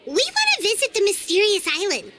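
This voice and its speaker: A woman voicing a small, chirpy, naive fairy companion girl in a video game, with a squeaky childlike voice and bubbly manner. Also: wildly swooping pitch, fairly quick, noisy background, heavily acted. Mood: curious. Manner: bubbly, exclaiming, refers to self by name.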